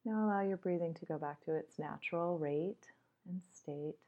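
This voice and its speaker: A woman leading a guided meditation in a measured, instructional way with deliberate pauses, on slightly dull audio. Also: on the low side, warm tone.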